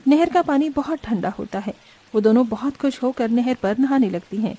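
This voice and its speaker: A woman's voice: a little high, lively intonation, normal speed, dark tone. A woman narrating a scripted family cartoon story.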